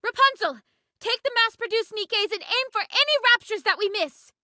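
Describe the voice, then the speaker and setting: A woman's voice: extremely high pitch, animated, normal speed, pushed and bright, no echo, clean studio sound, exaggerated voice. A woman playing a caring, decisive android squad leader in a game, with a direct, commanding manner. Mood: urgent, commanding. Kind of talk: anime-style game voice acting.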